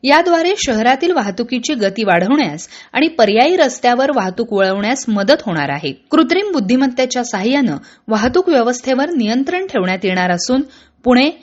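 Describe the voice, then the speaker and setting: A woman reads radio news. Her delivery is formal and measured, following a script. The sound is a little dull and band-limited. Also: a little high, big pitch swings. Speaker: radio newsreader.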